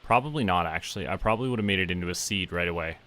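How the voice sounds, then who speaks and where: A man's voice: sits low, very fast, pushed and bright. A man chatting casually to himself as he plays a game on stream. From Canada. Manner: talks to himself while playing. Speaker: streamer playing a farming game.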